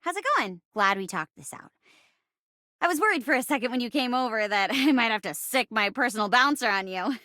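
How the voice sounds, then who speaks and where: A high-voiced young actress chair come to life for a video game. She is earnest and casual, with a very animated, swooping delivery. The sound is close and dry. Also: dry recording. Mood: cheerful, friendly. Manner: animated, earnest, casual, halting when uncomfortable. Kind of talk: video game character dialogue.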